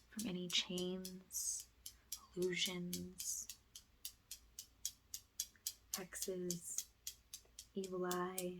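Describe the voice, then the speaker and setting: A woman leading an ASMR guided spiritual healing session, speaking softly and breathily, often in a whisper, with slow, gentle, soothing guidance. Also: on the low side, rough recording. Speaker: ASMR energy healer, host.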